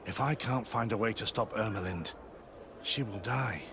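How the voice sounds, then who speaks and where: A man's voice: on the low side, normal speed, noisy background, lightly acted. A man playing a guilt-ridden, secretive army sergeant turned informant in a video game, guarded, earnest and confiding. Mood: grave. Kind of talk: video game cutscene acting.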